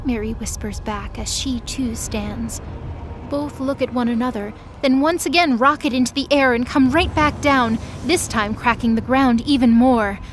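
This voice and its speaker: A woman's voice: fairly high pitch, moderate pace, faint music behind. A woman delivering dramatic scripted narration as the narrator of an audio drama.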